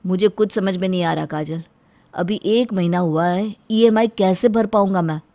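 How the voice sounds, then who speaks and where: A woman's voice: medium pitch, normal speed, mellow, lightly acted. A woman voicing an exasperated, worried young husband in a cartoon story, weary and protesting.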